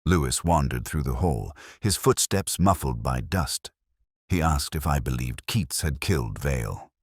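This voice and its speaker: A man narrating a first-person detective story in a measured, literary, brooding manner. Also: very deep voice, expressive, husky, no echo, studio quality.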